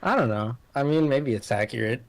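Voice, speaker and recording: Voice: medium pitch, animated, fairly quick. A guest getting a tarot reading, chatting casually in internet slang with wry, joking remarks.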